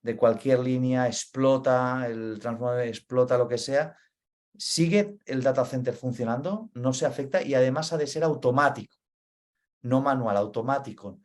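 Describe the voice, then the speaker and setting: A man's voice: mid-range voice, moderate pace, darkish tone. A man from Spain with a Spain Spanish accent, explaining data center operations in a webinar and repeating his key points. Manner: earnest, repeats key points, frequent "vale?" check-ins.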